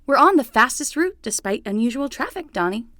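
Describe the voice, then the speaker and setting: A woman playing a young, excitable summer nanny with a casual, rambling way of talking in a fiction podcast. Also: sits high, fairly quick, no echo, clean studio sound, full character voice. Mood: excited. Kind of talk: scripted fiction podcast acting.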